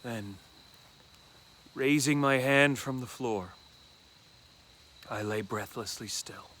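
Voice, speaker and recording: A man reading a classic horror story aloud as dramatic first-person narration, deliberately and with dramatic pauses. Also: medium pitch, fairly bright tone, slight background noise.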